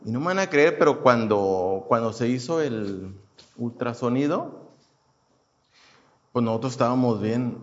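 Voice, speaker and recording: A man in his thirties from Houston, sharing his personal testimony at a mid pitch. He talks casually and haltingly, with fillers and false starts all through. The recording is muffled and lo-fi. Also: expressive. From Houston, United States. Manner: hesitant, many fillers and restarts. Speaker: churchgoer giving a testimony.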